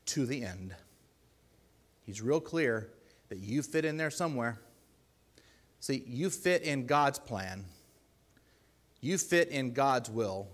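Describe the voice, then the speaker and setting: A man with a deep voice preaching a sermon in a church, earnest and pleading, with loud emphatic bursts. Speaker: lay preacher.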